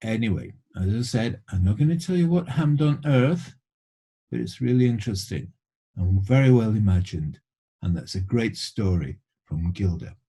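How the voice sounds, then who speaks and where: A man reading a children's story aloud in an expressive storytelling style. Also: on the low side, moderate pace, husky. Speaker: story reader.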